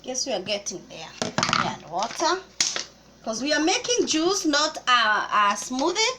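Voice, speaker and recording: Kenyan woman, bright and high, cooking vlog host, kitchen recording with audible noise. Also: sing-song, unhurried. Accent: Kenyan English. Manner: chatty, explains step by step with small restarts. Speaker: cooking vlogger. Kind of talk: casual cooking tutorial.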